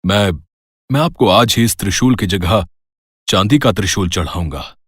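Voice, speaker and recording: A man's voice: lowish voice, expressive, normal speed, no room sound, studio quality. A man playing a kindly, devout old grandfather in a devotional cartoon story, speaking in earnest, reverent prayer. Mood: hopeful prayer.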